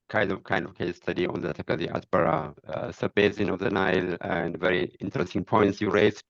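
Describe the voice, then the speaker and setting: A man's voice: fairly low pitch, creaky, a bit bright, dry recording, muffled recording. A man moderating a webinar over a video call, polite and formal, with fillers.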